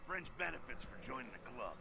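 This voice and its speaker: An actor playing a brash, streetwise vampire mentor in a video game, with a gravelly voice and salty, theatrical, punchy delivery. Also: male voice, mid-range voice, sing-song, a little fast, bright, forceful tone, soft background music, exaggerated voice. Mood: hyped, instructive. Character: brash, wild older male vampire mentor, gleefully streetwise. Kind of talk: video game character acting.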